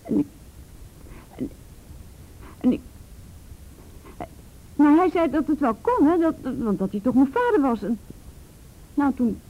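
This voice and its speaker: A woman's voice: sits high, big pitch swings, measured pace, pauses often, narrow, tinny audio. An actress playing a frightened teenage girl in a Dutch radio play, stammering and repeating words as she recounts painful memories. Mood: frightened, agitated.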